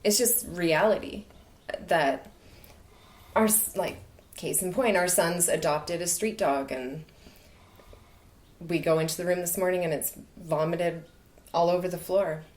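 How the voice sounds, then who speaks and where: A woman's voice: fairly low pitch, measured pace, long pauses, a little mellow. A woman in her thirties from the far north of Canada, a podcast guest talking frankly and loosely, often laughing. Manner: frank, rambling, laughs easily. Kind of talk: casual conversation.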